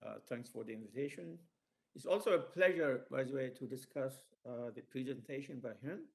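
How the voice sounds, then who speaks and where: A male professor gives formal remarks as a discussant, in a mid-pitched voice with heavy creak. He moves haltingly, breaking his phrases up with hesitations.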